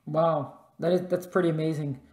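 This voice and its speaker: A woman's voice: low voice, fairly even intonation, normal speed, mellow, roomy sound. A woman hosting a podcast, an author interviewing a guest in a casual, enthusiastic manner with frequent laughter.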